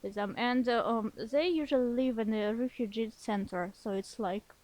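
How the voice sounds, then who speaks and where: A woman with a fairly high voice, speaking as a learned language. She talks casually as a podcast guest, halting often to restart a thought. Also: a little mellow. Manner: hesitant, many pauses and restarts, laughs lightly. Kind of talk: casual interview talk.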